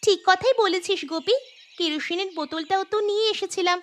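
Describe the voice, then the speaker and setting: A woman voicing a bold, bossy young village girl in an animated folk tale, speaking with cheeky exclamations. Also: very high-pitched, a little fast. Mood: busy, chatty. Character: bold, bossy village girl leading her friends' secret picnic.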